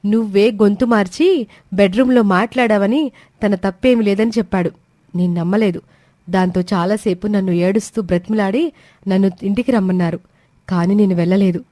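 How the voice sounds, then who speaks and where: A woman's voice: mid-range voice, moderate pace, warm tone, dry recording, natural voice. A woman voicing a hurt, stubborn young wife in a family story, indignant and questioning. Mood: hurt, defiant.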